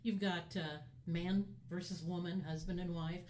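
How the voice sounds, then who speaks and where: A woman's voice: on the low side, fairly even intonation, moderate pace, a little mellow, a little hiss or hum. A woman co-hosting a podcast in casual conversational chat. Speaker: podcast co-host.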